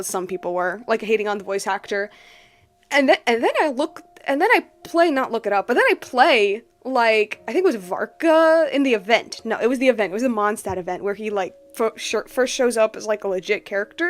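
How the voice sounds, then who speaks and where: A woman hosting a game livestream in casual chat, rambling informally and circling back on her phrases. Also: fairly high pitch. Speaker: game streamer, host.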